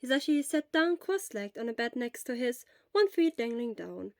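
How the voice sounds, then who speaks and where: Female narrator, high-pitched, scripted fiction reading, dry recording. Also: big pitch swings, moderate pace, a little mellow, dry recording. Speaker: audiobook narrator.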